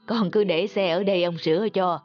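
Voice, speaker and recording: A woman's voice: on the low side, steady tone, a little fast, muffled recording, soft background music, natural voice. A woman voicing a kindly, helpful elderly grandfather in a cartoon story.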